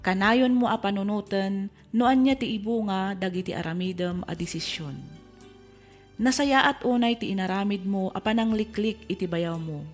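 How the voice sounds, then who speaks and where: Soft background music sits behind a woman of medium pitch. She hosts a radio drama with an Ilocano accent, speaking in a scripted, advisory style. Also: moderate pace. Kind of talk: scripted letter reading over music.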